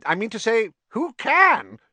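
Man acting a flustered, high-pitched male official. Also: big pitch swings, measured pace, pushed and bright, no echo, exaggerated voice. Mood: flustered protest. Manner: stammering, protesting, alarmed. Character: flustered, anxious, dithering government official, a man. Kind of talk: audiobook character acting.

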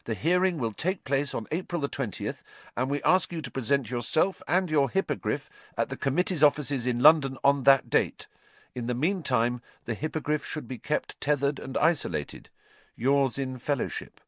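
A man voicing a steady, caring teenage boy. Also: mid-range voice, normal speed, dry recording, pitched above the performer's own voice, close to an everyday voice. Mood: reading. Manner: steady, encouraging.

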